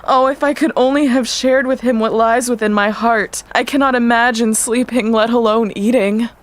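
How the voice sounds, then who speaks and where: A woman's voice: fairly high pitch, steady tone, normal speed, close to an everyday voice. A woman playing a gracious, tender young princess in an audio storybook drama, formal and earnest. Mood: lovesick, sorrowful.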